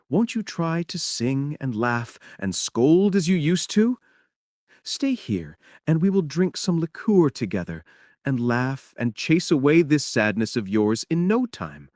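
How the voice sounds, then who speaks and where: A man's voice: a little high, darkish tone, no echo, clean studio sound, pitched above the performer's own voice. A man voicing a sad, lonely, pleading wife in a theatrical, plaintive reading of a stage play. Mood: pleading, tender.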